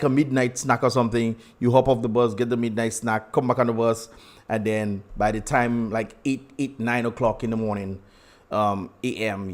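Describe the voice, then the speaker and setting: A young man with a Dominican accent hosting a casual livestream, chatty and laughing often as he greets viewers. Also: medium pitch. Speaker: livestream host.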